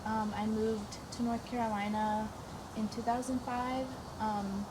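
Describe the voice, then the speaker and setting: A young woman from the northeastern United States speaking hesitantly, with many pauses on "um", as a veterinary student. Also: a little high, flat delivery. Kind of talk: casual group interview. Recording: distant mic with clear background noise.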